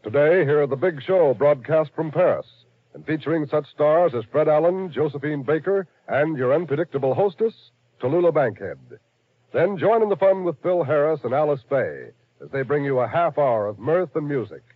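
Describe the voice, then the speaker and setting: A man's voice: fairly high pitch, lively intonation, normal speed, husky. A man reading a network promo in 1950s radio announcing style. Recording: dry, tinny old radio recording.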